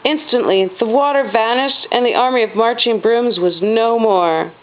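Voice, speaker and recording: A woman reading a children's storybook aloud as a mother does at home, with expressive stress on the dramatic words. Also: fairly high pitch, normal speed. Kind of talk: storybook read-aloud narration. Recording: dull, lo-fi home recording.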